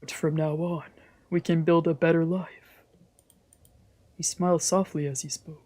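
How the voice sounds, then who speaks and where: Streamer reading as a soft-spoken, wry young man. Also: mid-range voice, little pitch movement, moderate pace, natural voice. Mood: soft, earnest. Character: brooding young man narrating, wry and guarded. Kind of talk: dramatic read-aloud of a visual novel.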